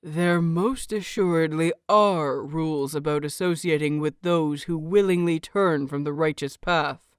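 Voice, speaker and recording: A woman's voice: low-pitched, unhurried, smooth, dry recording, clean studio sound. A woman voicing a stern, irritable older male grandmaster in an audiobook story, with a clipped, scolding delivery. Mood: harsh, indignant. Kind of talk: dramatised audiobook reading.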